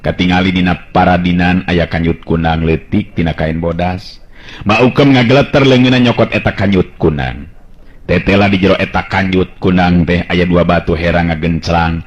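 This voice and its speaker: A man's voice: low-pitched, moderate pace. A man with a Sundanese accent narrating a folk tale as a dramatic radio storyteller. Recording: dull lo-fi radio-style recording.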